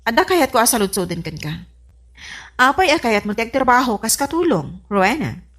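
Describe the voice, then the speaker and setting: Suspicious madam of the house, actress, stern questions, highish and animated, comedy drama. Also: female voice, fairly quick. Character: strict, suspicious wife and household boss. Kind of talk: comedy radio drama acting.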